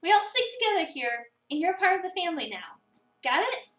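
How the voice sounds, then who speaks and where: Woman acting a bubbly young waitress, visual novel game, high voice, lively swings, confident. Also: brisk, slight background noise, heavily acted. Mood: warm, reassuring. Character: bubbly, confident young woman cafe coworker, social-media savvy. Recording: roomy, distant mic, music behind.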